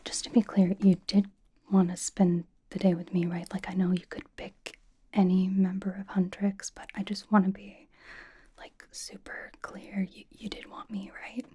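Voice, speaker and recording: A woman playing a cocky young female pop idol in an ASMR roleplay, whispering breathily with halting, teasing, dramatic emphasis. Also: medium pitch, level tone, mellow, slightly rough, natural voice. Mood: smug, teasing.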